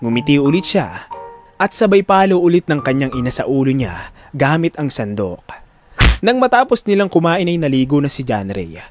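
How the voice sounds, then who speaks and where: A man's voice: a little high, sing-song, normal speed, over music. A man narrating a scripted audio drama in a dramatic storytelling style.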